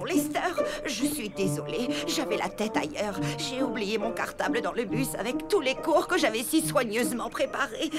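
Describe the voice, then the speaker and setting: A woman voicing a strict, anxious substitute schoolteacher in a French cartoon, speaking formally and precisely. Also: on the high side. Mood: flustered.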